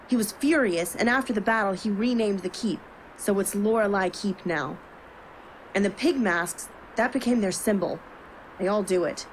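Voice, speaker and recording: A woman's voice: mid-range voice, a little hiss or hum, natural voice, rough recording. A woman playing an earnest, burdened young royal in an audio drama.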